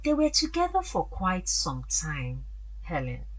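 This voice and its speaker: A woman playing a frank, protective older mother in a radio drama, speaking deliberately and expressively. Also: on the low side, huge pitch swings, heavily acted, low-quality recording. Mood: frank, concerned.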